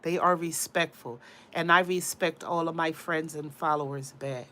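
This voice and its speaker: A woman's voice: sits low, steady tone, normal speed, a bit bright. A woman with a cold and a congested voice, rambling in casual chat to her livestream viewers. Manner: rambling, talks straight to viewers. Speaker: livestream host.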